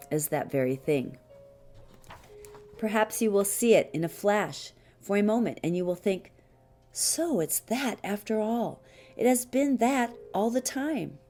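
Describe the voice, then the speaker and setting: A woman reading a spiritual teaching text as an audiobook, measured and deliberate, pausing often between short phrases. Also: mid-range voice, mellow. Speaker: audiobook reader. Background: music behind the voice.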